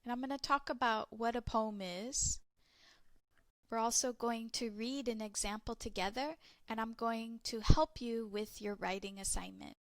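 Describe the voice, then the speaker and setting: A woman teacher giving a recorded lesson to English learners, explaining slowly and clearly step by step. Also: a little high, fairly even intonation, fairly bright tone, dry recording.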